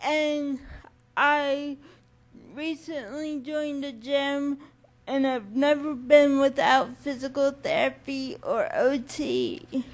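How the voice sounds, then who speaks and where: A woman's voice: high voice, steady tone, slow pace. A woman from Maryland introducing herself in an earnest, encouraging way. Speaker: conference attendee. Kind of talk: self-introduction.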